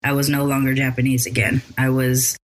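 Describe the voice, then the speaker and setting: A woman's voice: very deep voice, level tone, brisk, slight background noise. A woman hosting a podcast interview, asking thoughtful, probing questions in a conversational way. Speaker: podcast host.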